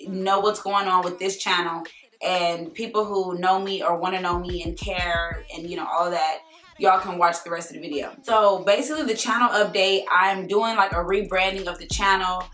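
A young woman with a raspy voice, chatting casually and sassily to camera in her living room. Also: fairly low pitch, steady tone, normal speed, a bit bright, reverberant. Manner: chatty, sassy, rambling. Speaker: YouTuber. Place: living room with a Christmas tree.

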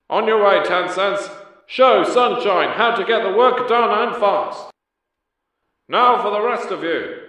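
A man playing a stern cartoon fleet captain, giving brisk orders with authority through an echoing megaphone effect. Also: high-pitched, sharp tone. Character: stern, commanding male harbour tug fleet captain. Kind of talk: cartoon character voice acting. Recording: echoing, distant megaphone-style sound.

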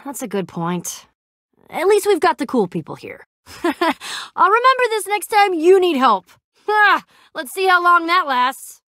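Casting: tough, loyal young female game warrior; high, very animated voice, sarcastic banter, dry close recording. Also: no echo, heavily acted. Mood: sarcastic, unimpressed. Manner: cocky, sarcastic, slangy banter. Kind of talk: animated video game character acting.

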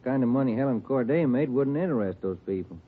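A man's voice: medium pitch, expressive, quick pace, husky. An actor playing an easygoing male detective partner with a laconic manner in a 1940s radio drama. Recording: tinny 1949 radio broadcast.

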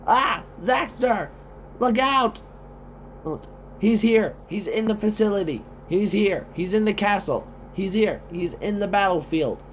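Casting: man playing an urgent, exclamatory fantasy soldier for game battle calls, high and swooping, noisy home recording. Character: excitable male fantasy soldier shouting battle voice lines. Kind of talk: amateur game-style voice lines.

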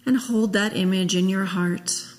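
Woman minister doing a guided meditation, really slow n gentle, long pauses, church reverb. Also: medium pitch, fairly even intonation. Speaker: minister leading a memorial service. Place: large church sanctuary, at a pulpit. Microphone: gooseneck pulpit microphone. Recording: long echo of a church hall.

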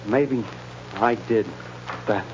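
A man's voice: mid-range voice, very slow. An actor playing a tough but nervous guilty gangster in old radio drama, with agitated, slangy tough-guy talk. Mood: panicky denial. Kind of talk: 1930s radio acting. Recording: muffled, hissy old radio recording.

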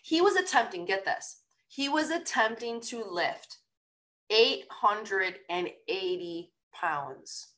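Raspy-voiced chatty woman with a cold. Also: mid-range voice, expressive, slow pace, fairly bright tone. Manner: chatty, rambling, upbeat. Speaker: podcast host. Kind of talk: casual live-stream chat.

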